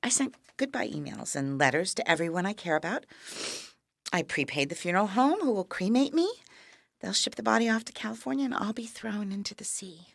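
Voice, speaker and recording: An actress in an audio play playing a dying younger sister, weary, wry and resigned, with a frail, halting, breathy delivery that drops to whispers. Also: female voice, mid-range voice, expressive, no room sound, studio quality. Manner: frail, halting, wryly understated. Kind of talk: intimate audio play acting.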